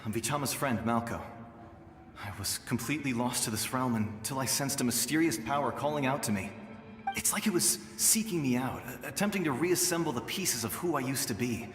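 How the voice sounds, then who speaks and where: Man playing a game ghost, mid-pitched, gentle, earnest, protective, long ghostly reverb, music behind. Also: natural voice. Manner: calm, earnest, reassuring. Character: gentle young male ghost of fallen warrior, protective, wistful. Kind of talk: English video game voice acting.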